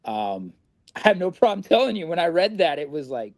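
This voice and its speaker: A man's voice: a little high, big pitch swings, a little fast, creaky, dry recording. A middle-aged man from Indiana, a podcast guest telling his recovery story in a candid, rambling way and swearing freely.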